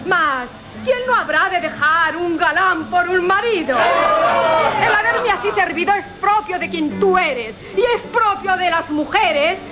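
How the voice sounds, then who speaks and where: Very shrill, brazen trickster bride. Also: female voice, a little slow, very noisy. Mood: unrepentant. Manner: teasing, declamatory, laughing through lines. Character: brazen, mocking bride, a gleeful trickster of men. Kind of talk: period comic radio theatre acting. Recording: radio drama with loud music and crowd.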